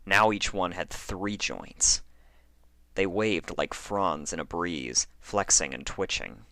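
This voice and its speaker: A man reading a horror story as a dramatic narrator, phrasing deliberately with pauses for effect. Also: low voice, pushed and bright, no room sound. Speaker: fan fiction narrator.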